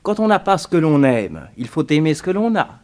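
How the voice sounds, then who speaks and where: An actor playing a wry, resourceful detective held prisoner, in the theatrical, ironic style of a 1960s French radio serial. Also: male voice, fairly high pitch, very animated, a little fast, a little mellow. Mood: mock courteous. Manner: theatrical, ironic, muttering to himself.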